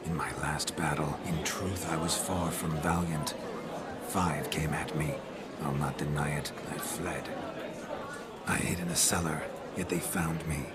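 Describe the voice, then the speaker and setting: Brief: haunted nobleman, very low voice, party noise all around. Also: fairly even intonation, a little slow, loud music behind the voice, over a noisy crowd. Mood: confessional. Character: ghost of a nobleman riding a man's body, boastful yet ashamed. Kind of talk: video game cutscene acting.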